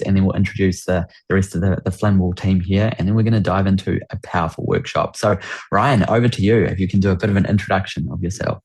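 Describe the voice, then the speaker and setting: A man's voice: sits low, darkish tone. A man with a New Zealand accent, hosting a business workshop on a video call and greeting people with lots of enthusiasm. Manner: enthusiastic, repeats welcomes and praise. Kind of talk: upbeat webinar hosting.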